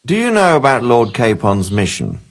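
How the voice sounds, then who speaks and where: An actor playing an authoritative middle-aged nobleman in a video game, composed, measured and firm as he gives orders. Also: medium pitch, huge pitch swings, slight background noise. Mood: businesslike.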